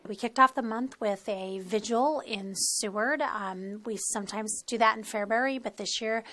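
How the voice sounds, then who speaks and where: A woman crisis center advocate speaking earnestly and haltingly in a news interview. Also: on the high side, sharp tone.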